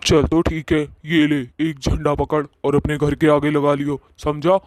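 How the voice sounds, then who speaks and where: A man's voice: on the high side, monotone, measured pace, a little mellow, no room sound, lightly acted. Swaggering, bossy cartoon ghost played by a man. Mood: bullying. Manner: swaggering, bullying, colloquial. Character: bossy, boastful male ghost who bullies villagers and flatters his sweetheart. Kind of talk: Hindi cartoon story voice acting.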